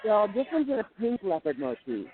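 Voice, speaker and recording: A thin phone line brings in a flamboyant, high-pitched male caller, put on by a man for radio comedy. He gushes and boasts like a pampered globetrotter. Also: very animated, fairly quick. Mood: gushing, boastful. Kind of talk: comedy call-in bit. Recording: quiet tinny phone line.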